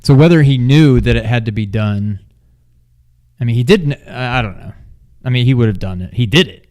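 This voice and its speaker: A man's voice: medium pitch, animated, brisk, darkish tone. A man chatting casually and informally as a co-host of a movie review. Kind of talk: casual chat.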